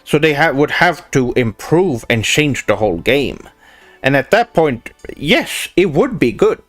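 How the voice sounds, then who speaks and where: Swedish streamer, grand anime persona. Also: male voice, fairly high pitch, big pitch swings, measured pace, fairly bright tone. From Sweden. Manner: pompous weeaboo persona, sprinkles Japanese words; hesitant restarts with many "uh"s. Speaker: streamer answering chat. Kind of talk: casual livestream chat, reading questions aloud.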